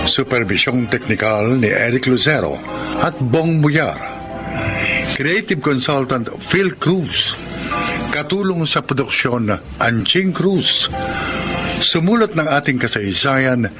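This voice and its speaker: A male radio drama announcer with a Filipino accent reads credits dramatically. His delivery is slow and strongly inflected, with loud music behind him. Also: medium pitch.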